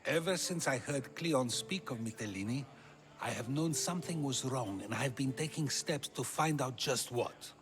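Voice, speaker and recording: An actor playing a wise, wry ancient philosopher in a video game, with a measured, questioning, rhetorical delivery. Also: male voice, medium pitch, natural voice. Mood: calm, resolute. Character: Socrates, wise wry ancient Greek philosopher, persuasive orator. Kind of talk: theatrical video game dialogue. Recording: music behind the voice.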